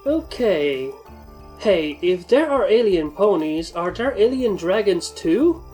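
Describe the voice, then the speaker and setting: A woman's voice: medium pitch, sing-song, takes it slowly, warm tone. Woman as young boy dragon sidekick, curious, casual, questioning, storybook cartoon character, music underneath. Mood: curious, surprised. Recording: music behind the voice.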